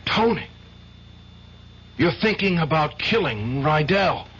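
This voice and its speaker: An actor playing a troubled, anxious attorney with an urgent, intense manner in 1970s radio drama style. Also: male voice, on the high side, lively intonation, slow pace. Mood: alarmed. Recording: dull 1970s radio recording.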